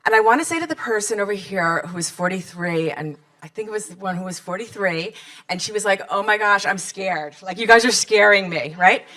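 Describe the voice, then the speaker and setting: Woman, 50s, event founder wrapping up a panel; candid, chatty, mid-pitched voice. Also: fairly quick, sharp tone. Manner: candid, chatty, rallying the audience with questions. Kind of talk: closing remarks to a live audience.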